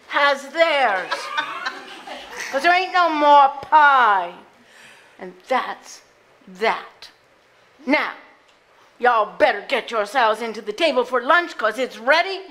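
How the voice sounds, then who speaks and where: Woman acting a slow, stern Southern housekeeper onstage. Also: fairly high pitch, pushed and bright, full character voice. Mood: scolding. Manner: folksy, scolding, emphatic. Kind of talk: live stage play acting.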